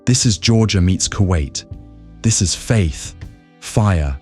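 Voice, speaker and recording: A male narrator speaks in a low, deep register with emphatic, dramatic scripted delivery. He tells the story like a documentary voice-over. Soft music plays beneath him. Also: lively intonation, takes it slowly. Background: background music.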